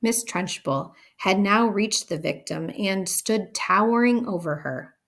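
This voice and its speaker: A woman narrates a children's book aloud. Her pace is very slow and deliberate, her pitch is in the middle range, and her delivery is expressive. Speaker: storybook reader.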